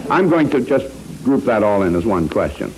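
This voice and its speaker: A man's voice: lowish voice, very animated, moderate pace, darkish tone. A man hosting a 1940s radio quiz show, hesitating and tossing in joking asides. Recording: noisy vintage radio broadcast.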